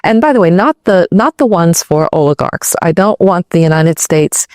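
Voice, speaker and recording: A woman's voice: on the low side, expressive, normal speed, a little mellow, no echo. Woman politician, firm and decisive, interview answers recorded dry and close.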